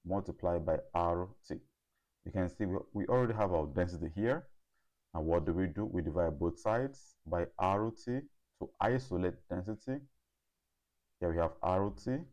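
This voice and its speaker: Deep-voiced male tutor explaining step by step. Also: a little mellow. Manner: deliberate, checks in with "okay" and "right". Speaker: chemistry tutor.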